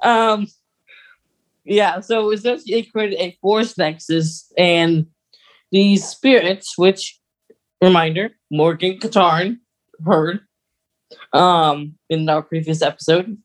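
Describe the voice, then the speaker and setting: A podcast co-host in casual fan chat, geeky and halting, getting animated when amused. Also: mid-range voice. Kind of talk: casual fan chat about film lore.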